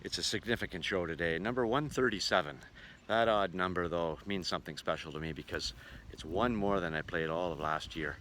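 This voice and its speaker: A man's voice: low-pitched, fairly quick, fairly bright tone. A man narrating a casual vlog as a touring musician, with a folksy, wry way of talking. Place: outdoors and in a vehicle. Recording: noisy outdoor and vehicle ambience.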